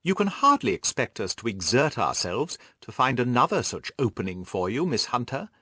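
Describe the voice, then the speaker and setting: A man's voice: mid-range voice, big pitch swings, normal speed, breathy edge, no room sound, studio quality, heavily acted. A man voicing an annoyed female employment agency manageress in an audiobook, speaking sharply and curtly. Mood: sharp, dismissive. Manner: sharp, curt.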